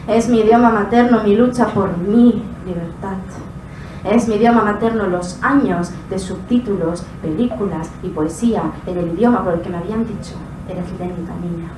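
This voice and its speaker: A woman in her twenties recites a slam poem with rhythmic, impassioned delivery at a live indoor event. Her voice comes from some way off the mic and rings around a reverberant room, with steady noise underneath. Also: medium pitch. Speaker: slam poet. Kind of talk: spoken word poetry performance. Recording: echoey room, distant mic, background noise.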